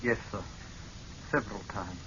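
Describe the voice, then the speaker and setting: Earnest young scientist, muffled old recording. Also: male voice, on the low side, moderate pace, bright, forceful tone. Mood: guarded. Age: young adult. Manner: formal, deferential, restrained. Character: earnest, dutiful young male scientist, deferential. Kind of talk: vintage radio drama acting. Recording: muffled, noisy vintage radio recording.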